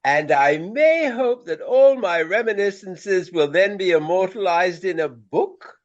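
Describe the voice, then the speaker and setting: A high, swooping voice for a vain old-fashioned stage gentleman in a radio drama. He is pompous and fussy, picking his formal words with small hesitations. The sound is slightly dull and lo-fi. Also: lively intonation, measured pace. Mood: fussy, puzzled. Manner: pompous, florid, hesitant with formal archaic speech. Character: vain, fussy Regency gentleman actor, greying, easily puzzled. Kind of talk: radio play acting.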